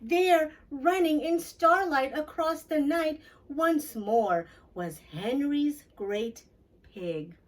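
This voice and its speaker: A woman's voice: sits high, lively intonation, drawn-out words, far from the mic. A woman reading a children's story aloud, expressively, addressing young listeners with questions.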